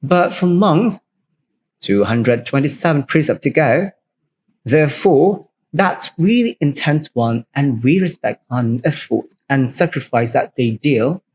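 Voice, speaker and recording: A man's voice: mid-range voice, lively intonation, unhurried, a little mellow, slightly rough. Thai man explaining in plain English. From Thailand. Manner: plain, step-by-step explaining in second-language English. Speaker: podcast co-host. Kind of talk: explanatory cultural talk.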